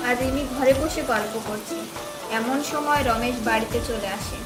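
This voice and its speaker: A woman's voice: a little high, moderate pace. A woman narrating a scripted cartoon story, with rain sounds behind her. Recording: echoey, music and rain underneath.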